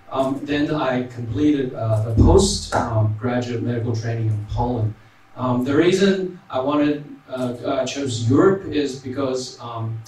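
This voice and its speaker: A man's voice: mid-range voice, darkish tone. Australian man in a very echoey hall. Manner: many ums and uhs, adds "right?". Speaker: panelist. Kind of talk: reading prepared answers. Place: large hall. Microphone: handheld mic. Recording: very echoey hall, distant mic, faint noise.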